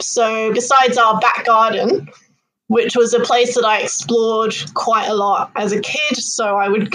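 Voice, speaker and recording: A woman's voice: mid-range voice, low-quality recording. A woman from London with a British accent, speaking conversationally as an interview guest and telling personal stories. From London, United Kingdom. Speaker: interview guest, marine biologist.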